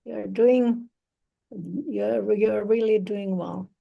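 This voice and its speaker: A woman tutoring English over a video call, talking slowly and encouragingly with many fillers. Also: mid-range voice, vocal fry, narrow, tinny audio. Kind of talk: encouraging online speaking practice.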